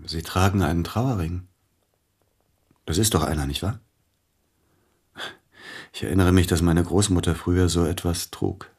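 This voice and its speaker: A very low, husky male voice for a radio play: a widowed concert pianist haunted by guilt. He speaks formally and haltingly, pressing insistently for answers. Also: darkish tone. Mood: subdued, ashamed. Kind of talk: German radio drama acting.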